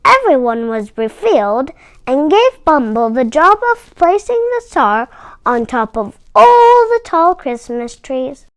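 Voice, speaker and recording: A woman storyteller reading a children's storybook aloud with expressive, emphatic phrasing. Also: high-pitched, slow pace, no echo.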